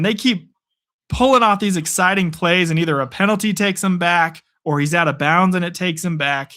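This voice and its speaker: A young man calling play-by-play for a live stream, excitable and chatty, answering chat, his voice getting worn. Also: fairly high pitch. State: losing his voice. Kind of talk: casual live play-by-play commentary.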